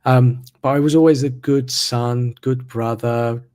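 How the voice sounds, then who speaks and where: A man, talking slowly and reflectively as a podcast host on a video call. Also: medium pitch. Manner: slow, even, reflective delivery. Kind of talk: casual interview conversation. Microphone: video call mic.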